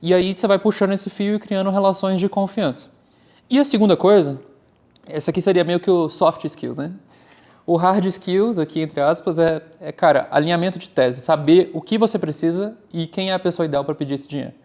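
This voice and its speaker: Candid Brazilian man, fairly high voice. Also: fairly quick. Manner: candid, animated, uses "cara" often. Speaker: startup founder and guest. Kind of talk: casual interview talk.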